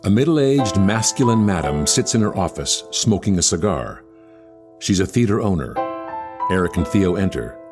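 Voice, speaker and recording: A man's voice: low-pitched, very animated, normal speed, over music. A man reading stage directions for an audio musical in scripted narration.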